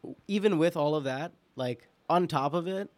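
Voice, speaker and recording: A man from New York with an American accent, guest-hosting a casual podcast and thinking aloud haltingly, repeating words as he goes. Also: on the high side, a little mellow, no echo. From New York, United States. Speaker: podcast guest host and producer. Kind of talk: casual chat.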